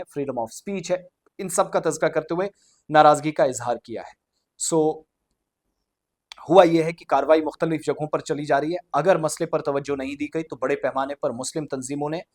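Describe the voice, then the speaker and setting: A man's voice: a little high, a little mellow. A man presents news commentary to viewers in an explanatory way, glossing formal terms in English.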